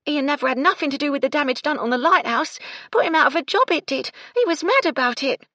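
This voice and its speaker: A woman's voice: high voice, animated, moderate pace, a bit bright, no echo, studio quality, voice pushed up. A woman voicing a distraught, outspoken young housemaid in a story, with colloquial, ungrammatical servant speech. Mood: earnest, indignant.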